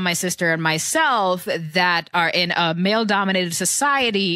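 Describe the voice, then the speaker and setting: A woman's voice: mid-range voice, fairly bright tone, dry recording, studio quality. Articulate woman with a hybrid Trinidadian-American accent. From born in Houston, raised in Trinidad. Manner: articulate, composed, precise phrasing. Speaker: guest, tech founder. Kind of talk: podcast interview.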